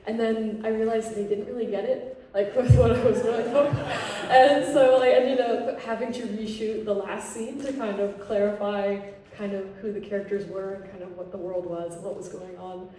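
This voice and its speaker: A woman's voice: mid-range voice, fairly even intonation, moderate pace, mellow. A young woman from Texas, a student filmmaker, answering casually with many fillers. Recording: echoey hall, distant mic, faint hum.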